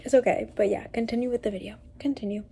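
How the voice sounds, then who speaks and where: A woman with a congested voice talks straight to viewers in a casual voice-over, chatty and a little exasperated. Her tone is dark and mellow, with a gravelly fry running through it. Faint noise sits behind her. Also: on the high side, steady tone, quick pace. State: sick and congested. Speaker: video editor voice-over.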